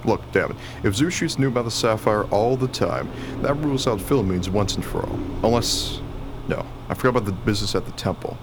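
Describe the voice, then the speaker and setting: A man's voice: fairly low pitch, lively intonation, brisk. A man playing a wry, grumbling, baffled working husband who is short of money, in a radio play. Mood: puzzled. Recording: noisy recording, vehicle-like ambience.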